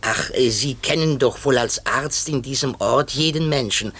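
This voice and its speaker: A man's voice: mid-range voice, normal speed, fairly bright tone, slight background noise, lightly acted. A man playing a vain, courteous foreign detective in a 1950s German radio play, self-assured and fussy. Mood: curious, observant.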